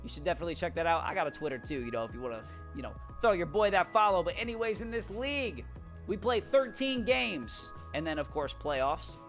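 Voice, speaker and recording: A young man vlogging on a headset in a small room at home, talking about his draft league in a hyped, excitable way and saying he is losing his voice. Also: high-pitched, fairly quick, soft background music. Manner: hyped, shout-out heavy, repeats words when excited. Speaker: YouTuber and draft league coach. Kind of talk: energetic casual vlog talk.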